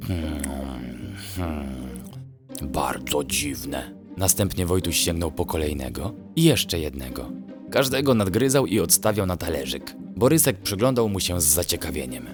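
Male storyteller, low pitch, lively intonation, scripted children's bedtime narration, music behind. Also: moderate pace. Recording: music under the voice.

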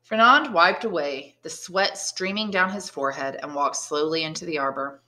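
A woman reading a classic novel aloud as an expressive storyteller. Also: deep voice, moderate pace. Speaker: audiobook reader, English teacher. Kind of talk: expressive scripted novel narration.

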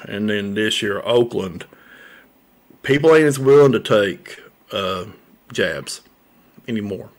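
A man's voice: fairly low pitch, fairly bright tone. A man, hosting a local sports talk show, rambling with lots of fillers and repeated words into a studio mic. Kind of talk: casual sports talk monologue. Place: small room set up as a coffee shop, indoors. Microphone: large studio mic on a boom arm.

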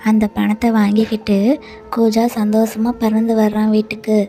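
A woman narrating a cartoon story in an even, storytelling manner. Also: mid-range voice, moderate pace. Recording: light music bed.

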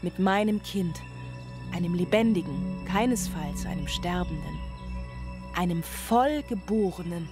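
A woman's voice: lowish voice, expressive, measured pace. An actress playing a strained, dreamily euphoric single mother in a radio drama, speaking in poetic, incantatory repetitions. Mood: tender, dreamy. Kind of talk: German radio drama monologue. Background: music.

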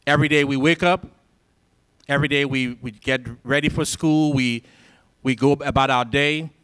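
A man's voice: mid-range voice, fairly even intonation, moderate pace, a bit bright, clean tone. Rousing man speaking in a lecture hall. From Liberia. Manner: rousing, preacher-like cadence with emphatic repetition. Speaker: symposium speaker. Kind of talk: motivational speech to students. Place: large college lecture hall, indoors. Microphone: handheld microphone.

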